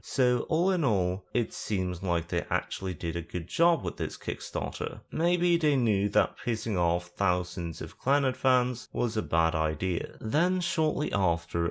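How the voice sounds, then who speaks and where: A man hosting a video essay, talking through the topic with dry, sarcastic asides. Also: lowish voice.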